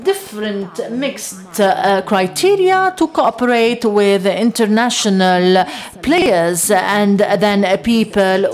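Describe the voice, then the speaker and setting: A woman giving simultaneous interpretation of a lecture, halting often with long hesitations. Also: mid-range voice. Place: lecture hall.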